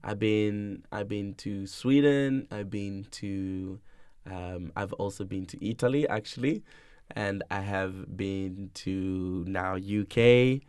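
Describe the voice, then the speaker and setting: A man's voice: lowish voice, slow pace, a little mellow, smooth, no room sound. A young man from Tanzania, a musician being interviewed, chatting casually and playfully, laughing often and rambling loosely. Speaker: musician guest.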